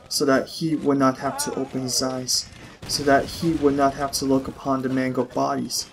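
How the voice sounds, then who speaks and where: Male audiobook narrator, medium pitch, dramatic storytelling delivery, light ambience behind. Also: a little hiss or hum, low-quality recording.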